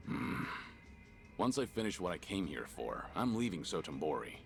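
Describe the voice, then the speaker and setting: An actor voicing a stoic, gruff middle-aged tough guy in a video game, terse, reserved and deadpan. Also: sits low, normal speed, soft background music, exaggerated voice. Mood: wary. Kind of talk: English video game voice acting.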